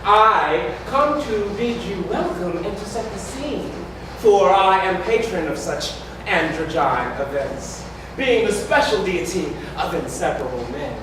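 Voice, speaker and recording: A performer playing a festive, ceremonious god of lovers, declaiming florid verse in drawn-out phrases at a staged reading. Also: mid-range voice, animated, natural voice. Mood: grand, playful. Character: Hemeros, grandly festive male deity of lovers, ceremonious herald. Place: community hall stage before an audience. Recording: echoey hall, distant mic, noisy.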